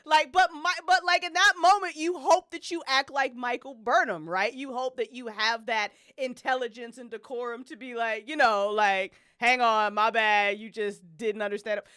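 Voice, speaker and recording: A woman's voice: on the high side, steady tone, normal speed, hardly any pauses, fairly bright tone, clean tone, no echo, clean studio sound. A woman on a podcast panel, chatting casually and opinionatedly as a fan, with emphatic, slangy, swear-heavy delivery.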